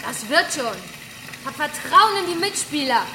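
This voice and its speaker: High, bright vengeful young hacker woman. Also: very animated, measured pace, slight background noise, exaggerated voice. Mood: taunting. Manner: taunting, controlled. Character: cool, vengeful young female hacker toying with captive. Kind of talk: modern German radio crime drama acting. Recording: echoey space with music underneath.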